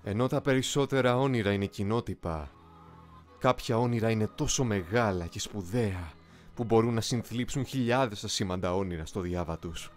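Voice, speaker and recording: A man playing a young, eloquent, coolly ambitious soldier in an anime fan dub, speaking in a formal, measured, philosophical way. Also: lowish voice, lightly acted. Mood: grave, philosophical. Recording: music behind the voice.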